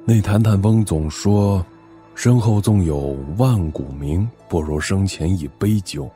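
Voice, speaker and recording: A man playing a weary, tender aging father and high minister in an audio drama, grave and reflective. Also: a little slow, dark tone. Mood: warm, resigned. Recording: music under the voice.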